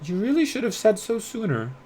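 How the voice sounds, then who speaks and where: An excitable male head mage from a fantasy storybook. His voice leaps very high and swings widely, dramatic and full of fluster. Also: fairly quick, slight background noise.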